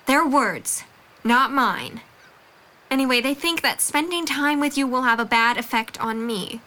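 A woman acting a frank young college student in an audio drama. Her voice is high and bright, and she comes across as earnest and firm, a supportive friend with limited patience. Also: measured pace. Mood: confiding. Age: young adult.

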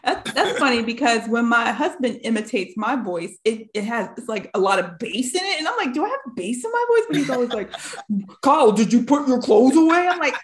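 A woman's voice: fairly high pitch, big pitch swings, fairly quick. A woman hosting a podcast in casual chat, teasing and laughing a lot. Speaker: podcast host.

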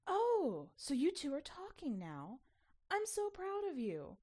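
A woman voicing an anxious mother who coaxes her child with expressive exasperation, in a storybook reading. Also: high voice, slow pace, pitched above the performer's own voice, full character voice. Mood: encouraging.

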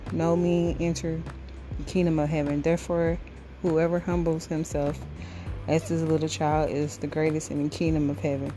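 A low female voice reads scripture aloud for a devotional podcast, with little rise and fall in pitch. She goes phrase by phrase in a halting way, and music plays clearly behind her. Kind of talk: devotional Bible reading. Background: music under the voice.

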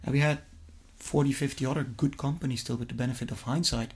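A middle-aged man from Flemish-speaking Belgium, a native Dutch speaker with a Flemish accent, answering interview questions conversationally and somewhat haltingly. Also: mid-range voice, steady tone, husky. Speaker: interview guest, investment fund executive.

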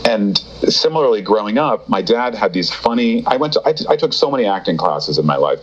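A man's voice: breathy edge, highs cut off. A man being interviewed as a guest, telling his career story in a casual, rambling way with many fillers and restarts. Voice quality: deep voice. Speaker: guest, TV writer.

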